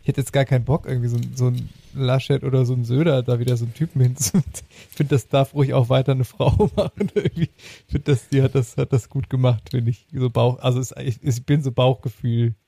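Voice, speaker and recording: A man's voice: mid-range voice, steady tone, fast talker, vocal fry, warm tone, dry recording. A man chatting casually as a podcast host, informal and colloquial, often repeating his phrases. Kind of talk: casual chat.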